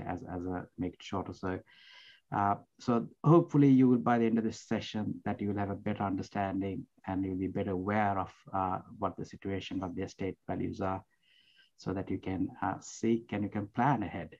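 Middle-aged man from Sri Lanka, interview guest, low-pitched, halting, muffled headset audio. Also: steady tone, a little mellow. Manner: hesitant, many fillers and restarts. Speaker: guest accountant. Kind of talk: interview answers. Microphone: headset on video call.

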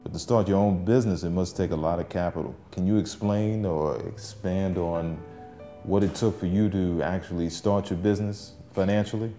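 A man interviewing a cafe owner, asking measured, formal questions. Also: low voice, fairly even intonation, mellow, reverberant, soft background music.

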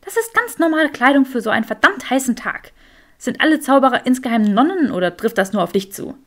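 A woman voicing a clever, stubborn young witch in an audiobook, curt and sharp-tongued. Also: sits high, animated, fairly quick. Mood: exasperated.

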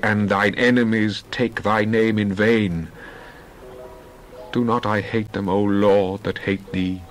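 Slow, low-voiced man reading scripture. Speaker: scripture reader. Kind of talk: dramatized Bible reading.

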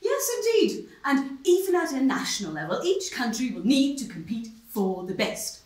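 An actress playing a self-assured, smug free-market intellectual in a satirical stage debate. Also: female voice, high voice, sing-song, normal speed, a little mellow. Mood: smugly agreeing. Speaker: actor in a stage play. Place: small stone-walled theatre stage. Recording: roomy stage sound, distant mic.

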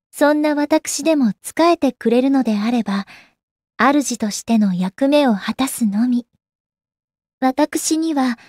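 A woman's voice: high voice, warm tone, slightly rough, no echo, clean studio sound, full character voice. An actress playing a proud, composed young goddess warrior in a game, with refined, ladylike and formal speech. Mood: resolute. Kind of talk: Japanese anime game character acting. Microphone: close dry studio microphone.

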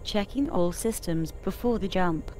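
A woman's voice: on the low side, a little slow, background noise, natural voice. A woman voicing an obedient spaceship computer assistant, reporting in a clipped, formal, procedural manner. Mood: businesslike. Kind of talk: scripted game voice prompts.

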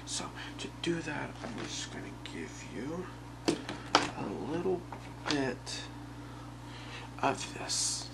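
A young man playing an obsessive, calmly menacing boyfriend in a halting, soft, half-whispered ASMR role play. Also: on the high side, gravelly, heavily acted. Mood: possessive, calm. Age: young adult. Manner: halting, soft-spoken, unhurried. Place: small bedroom, indoors. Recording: very noisy webcam-style home recording.

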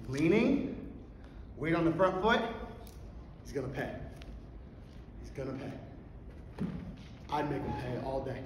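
A man in his thirties teaching a wrestling clinic from a stage in a big hall, brash and energetic, firing rhetorical questions and repeating cues while demonstrating moves. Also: sits high, slight background noise. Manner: moving and grappling while talking. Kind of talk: live coaching demonstration. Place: stage in a large indoor convention hall. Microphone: far camera across the room. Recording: very echoey hall, voice from afar.

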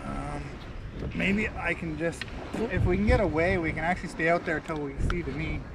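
A man's voice: a little high, moderate pace. A man chatting casually with companions outdoors on a small boat. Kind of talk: casual chat. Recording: dull, very noisy open boat outdoors.

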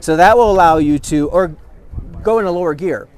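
A man's voice: on the high side, fairly quick, darkish tone. A man in his thirties giving an eager, hands-on product demonstration at an outdoor expo booth, recorded on a camera mic a few feet away. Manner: enthusiastic, explanatory, hands-on demonstrating. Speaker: product representative.

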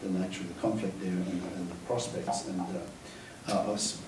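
A man's voice: low voice, steady tone, normal speed, background noise. A middle-aged man moderating a panel, speaking thoughtfully with frequent restarts and fillers. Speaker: moderator, academic host. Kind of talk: panel conversation. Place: lecture room with a seated audience. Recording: roomy lecture hall, mic at a distance.

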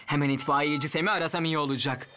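A man playing a genial, anxious fisherman father in a dubbed children's cartoon, expressive and exclaiming. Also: a little high, quick pace. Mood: concerned. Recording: music behind the voice.

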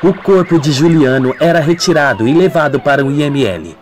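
A man's voice: a little high, expressive, brisk. A man narrating a scripted radio soap opera as a dramatic storyteller. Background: music.

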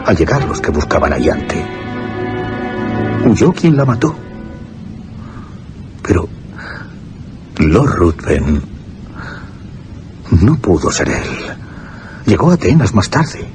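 An actor playing a troubled, brooding gentleman haunted by suspicion in a suspense radio drama. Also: low-pitched, very animated, takes it slowly, pauses often, faint music behind, exaggerated voice. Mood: horrified, anguished.

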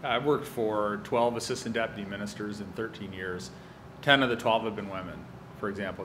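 A seated panelist in his thirties, a native French speaker, giving earnest, emphatic answers in a slightly low voice. He speaks into a table microphone in a university lecture room. A faint hum of room noise sits under him. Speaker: panelist, public servant.

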